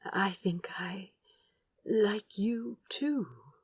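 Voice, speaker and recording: A woman voicing a gentle, eager, bookish man with polite, genteel manners and a breathy voice, in a fan fiction audio drama. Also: medium pitch, very slow, a little hiss or hum. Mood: hesitant, smitten.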